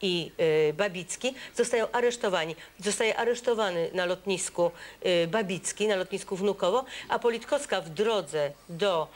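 An older woman on a TV studio panel, speaking in an animated, emphatic way. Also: medium pitch, normal speed. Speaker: panel guest.

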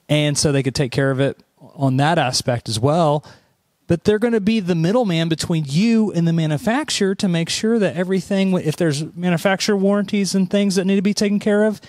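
A young man with an Oklahoma accent co-hosting a dealership podcast, chatty and animated in casual talk. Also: no room sound, studio quality. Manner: chatty, animated, acts out customer quotes with pitch jumps. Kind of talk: casual promotional chat. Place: small studio set indoors. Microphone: standing studio mic with headphones.

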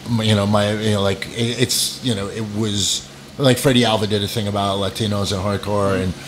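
A man's voice: fairly low pitch, flat delivery, brisk, noisy background. A middle-aged man from New England, guesting on a casual podcast, rambling through stories with self-deprecating jokes and many restarts. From New England, United States. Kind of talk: casual storytelling interview.

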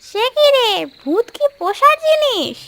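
Bossy old ghost woman for cartoon: piercingly high, sharp, scolding. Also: sing-song, a little slow, heavily acted. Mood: menacing.

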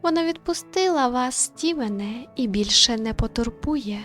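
A woman's voice: fairly high pitch, expressive, normal speed, fairly bright tone, faint music behind, pitched above the performer's own voice. A woman voicing a composed, courteous female spirit medium who speaks reassuringly in a visual novel. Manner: polite, reassuring. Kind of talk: visual novel read-aloud.

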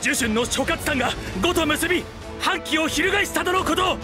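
An army messenger in a game cutscene. His voice is strained very high, urgent and deferential, with music behind. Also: moderate pace. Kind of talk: Japanese video game cutscene acting.

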